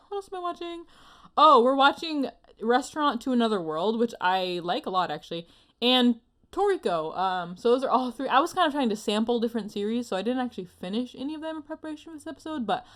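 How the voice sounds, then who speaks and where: A woman's voice: mid-range voice, a bit bright. A woman co-hosting a podcast in casual chat, with a giggly, chatty manner. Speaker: podcast co-host.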